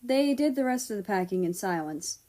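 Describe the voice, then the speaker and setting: A woman's voice: mid-range voice, animated, normal speed, mellow. A woman narrating a scripted story as a reader of fan fiction.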